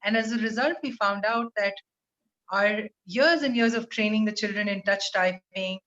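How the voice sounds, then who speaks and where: Woman, 30s, calm clear explaining over webcam, kinda muffled call audio. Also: mid-range voice. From Pakistan. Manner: measured, articulate, steady explaining. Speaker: school program leader, interview guest. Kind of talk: video call interview. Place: room at home. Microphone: webcam on video call.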